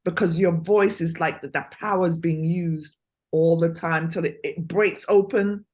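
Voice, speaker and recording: A woman's voice: on the low side, steady tone, measured pace, darkish tone. Woman, podcast host, casual chatty talk, tag questions. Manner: chatty, leans on "you know what I mean". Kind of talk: casual interview chat.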